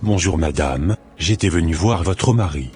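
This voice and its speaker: Man acting a devout stern pastor, very deep voice, solemn, preachy, soft music under. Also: lively intonation, unhurried. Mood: concerned. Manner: solemn, preachy, fervent in prayer.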